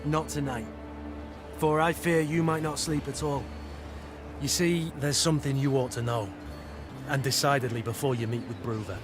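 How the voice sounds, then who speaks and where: A man's voice: mid-range voice, fairly even intonation, moderate pace, long pauses, over music, lightly acted. A man playing a sly, witty rogue in a video game, speaking in an eloquent, sardonic, teasing way. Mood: wry, teasing. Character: sly, roguish, witty outlaw adviser to queen. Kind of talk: scripted video game voice acting.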